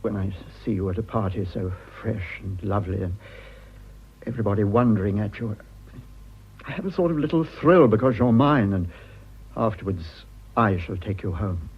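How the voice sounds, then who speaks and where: Awkward lovesick british husband in a radio play, husky, stammery n apologetic, bit muffled sound. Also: male voice, on the low side. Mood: pleading, tender. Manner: hesitant, halting, apologetic. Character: earnest, awkward, lovelorn husband pleading with his wife. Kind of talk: radio drama acting.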